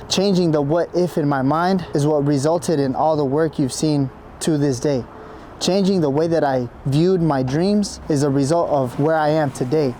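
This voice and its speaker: A man in his thirties with a hoarse, raspy voice, talking earnestly in a vlog about his week and his drive. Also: fairly high pitch, dark tone, slight background noise. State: hoarse from being sick. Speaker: vlogger and business coach. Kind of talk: casual vlog intro, then motivational talk. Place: gym and outside at night.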